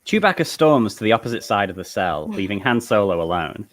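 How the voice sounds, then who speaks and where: A man's voice: on the low side, big pitch swings, fairly quick. A man narrating stage directions at a comedic table read of a film script.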